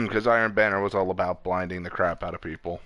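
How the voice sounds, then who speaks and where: A man's voice: low voice, normal speed, bright, forceful tone, no echo. A man streaming a game, talking in an informal, playful way with casual commentary. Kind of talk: casual gaming chat and commentary.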